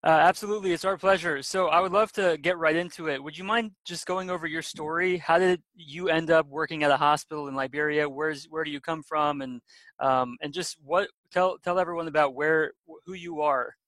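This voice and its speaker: Upbeat male podcast host with a slightly raised pitch, dry close recording. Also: fairly even intonation, brisk, a bit bright, dry recording. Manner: upbeat, a bit rambling questions. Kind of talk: podcast interview.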